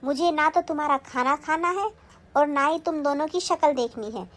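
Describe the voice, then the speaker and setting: A woman's voice: high-pitched, moderate pace, close to an everyday voice. A woman playing a stern older mother-in-law. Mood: angry. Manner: scolding, commanding. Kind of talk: family skit acting.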